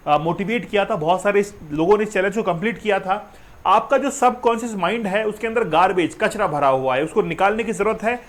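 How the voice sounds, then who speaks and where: A man presents current affairs in a notably high voice. He explains point by point like a teacher, stressing key words and answering his own questions. English terms are sprinkled through his speech. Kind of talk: explanatory news commentary to camera.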